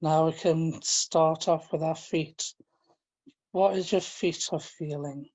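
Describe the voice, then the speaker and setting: A man leading a guided relaxation, speaking in a gentle, informal and halting way, often repeating his phrases. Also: fairly high pitch, fairly even intonation. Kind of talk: guided relaxation, meditation instruction.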